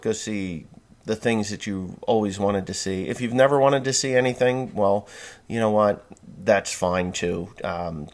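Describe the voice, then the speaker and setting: A man in his forties, from New Jersey, talking bluntly and folksily in a rambling monologue, with many fillers and restarts. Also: low voice. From New Jersey, USA. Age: 40s. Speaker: podcast host.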